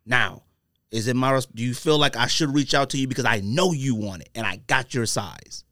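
A man's voice: mid-range voice, a little fast, sharp tone, dry recording, clean studio sound. A man hosting a casual podcast debate, talking in slang and repeating himself emphatically for effect. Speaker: podcast host. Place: podcast studio.

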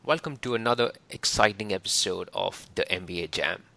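Upbeat podcast host, bright and crisp. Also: male voice, low voice, a little fast. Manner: upbeat, laughs often. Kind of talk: interview hosting. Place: lives in London.

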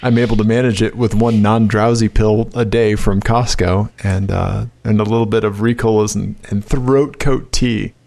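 A man co-hosting a podcast in casual chat, joking and self-deprecating and quick to laugh, with allergies. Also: fairly low pitch, fairly even intonation, gravelly, a little mellow. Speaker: podcast co-host.

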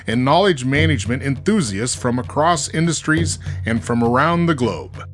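A man's voice: medium pitch, expressive, moderate pace. A man hosting a podcast, reading a cheerful intro and then chatting with a guest over a remote call. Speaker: podcast host. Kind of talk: scripted show intro, casual chat.

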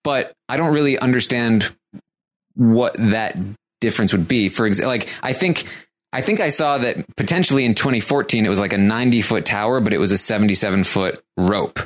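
A man's voice: on the low side, brisk. A man co-hosting a casual podcast, talking things through with dry, teasing humor. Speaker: podcast co-host.

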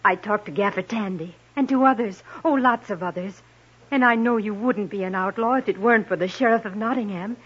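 An actress playing a gentle, earnest young noblewoman, hesitant and refined, in an old radio drama. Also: mid-range voice, a little fast, husky, close to an everyday voice. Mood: worried, earnest. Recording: muffled vintage radio recording.

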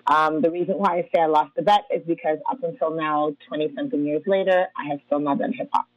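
A woman's voice: sits low, steady tone, fast, no echo. A woman from Ghana, a dance artist and educator, answering interview questions in an easygoing way with frequent laughs and ums. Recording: tinny phone-like line, dry.

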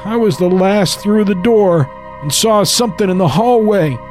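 A man's voice: high-pitched, moderate pace, a little mellow, faint music behind, pitched above the performer's own voice, close to an everyday voice. A man voicing a frail, rambling old grandfather who tells a horror story in the first person. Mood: eerie storytelling.

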